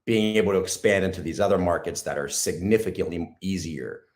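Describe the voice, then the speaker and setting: A man with a hoarse, raspy voice, talking casually as an interview guest with many ums and restarts. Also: deep voice, darkish tone. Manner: halting, lots of ums and restarts.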